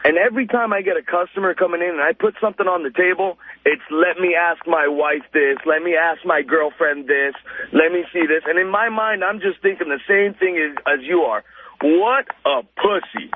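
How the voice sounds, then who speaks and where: High-pitched man ranting over tinny phone. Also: normal speed. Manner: emphatic, exasperated. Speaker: radio show caller, salesman. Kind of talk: casual phone-in rant. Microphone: telephone. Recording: tinny phone line with faint noise.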